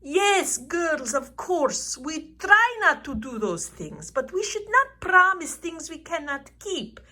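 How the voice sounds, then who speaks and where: A woman voicing a kindly, excitable uncle puppet in a children's show, exclaiming and teaching slowly. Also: high voice, heavily acted. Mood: instructive. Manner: exclaiming, slow, instructive.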